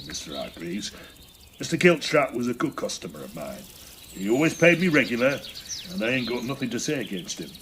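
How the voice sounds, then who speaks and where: Man playing blunt Edwardian builder, working-class English accent, medium pitch, colloquial, period audio drama, faint background sound. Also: slight background noise, natural voice.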